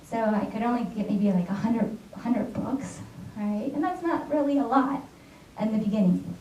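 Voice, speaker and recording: A woman's voice: fairly high pitch, normal speed, mellow, slight background noise. An American woman, a secondary school teacher, gives a chatty conference talk and keeps checking in with her listeners with "all right?" and "right?". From United States. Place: conference hall. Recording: echoey, dull audio captured from far off in a hall.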